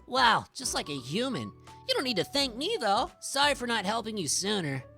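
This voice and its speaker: A woman voicing a casual, kindly young visual novel protagonist in expressive, conversational dialogue. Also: a little high, normal speed, creaky, faint music behind, voice pushed up, full character voice. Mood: relieved, kindly. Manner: expressive, conversational character reading.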